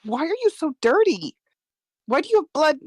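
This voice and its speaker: A frightened, pleading woman played by a woman in a screenplay read. Her voice sits fairly high and swings widely with urgent emphasis. The sound is close and dry. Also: very animated, moderate pace, darkish tone, no room sound. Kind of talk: screenplay table read acting.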